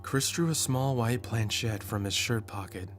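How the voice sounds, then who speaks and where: A man narrating a scripted first-person horror story in a measured, dramatic manner. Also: mid-range voice. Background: prominent atmospheric music.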